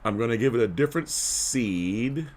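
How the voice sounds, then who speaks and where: A man with a hoarse voice talking casually through a live tutorial, clearing his throat often and filling with "uh". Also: medium pitch, animated, moderate pace, darkish tone. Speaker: livestream host.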